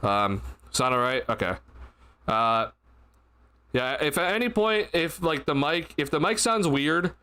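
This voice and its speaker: A man's voice: a little high, expressive, unhurried, pauses often, bright, forceful tone, clean tone. Tired young man streaming sports talk. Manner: rambling, many fillers and restarts. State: tired, slightly stuffy. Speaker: sports livestream host. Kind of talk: casual postgame livestream chat. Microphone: desk mic at a streaming setup.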